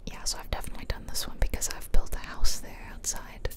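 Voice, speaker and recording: A woman softly whispering playful, chatty ASMR commentary over a video game, close to the mic. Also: quick pace. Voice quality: soft breathy whisper. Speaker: gaming host. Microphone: close stereo mic, ear-to-ear.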